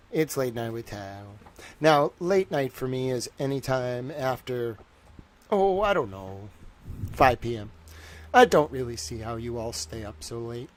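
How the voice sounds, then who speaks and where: A man hosting a livestream in a loose, chatty way, his voice tired. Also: mid-range voice. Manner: chatty, loose, greets chat viewers. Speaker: livestream host. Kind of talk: casual rambling livestream chat.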